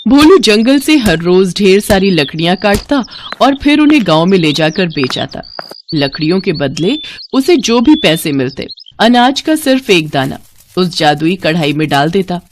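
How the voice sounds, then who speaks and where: A woman reading a cartoon bedtime story aloud, with a mid-pitched voice that rises and falls with plenty of life. Her delivery is expressive and scripted, and faint noise sits in the background. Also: moderate pace. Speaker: narrator.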